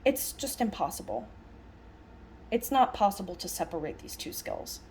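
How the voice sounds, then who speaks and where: A woman voicing a lecture as an interpreter, conversational, speaking in halting phrases with stress on key words. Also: mid-range voice, big pitch swings, darkish tone, a little hiss or hum, poor audio. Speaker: sign language interpreter voicing a signed lecture.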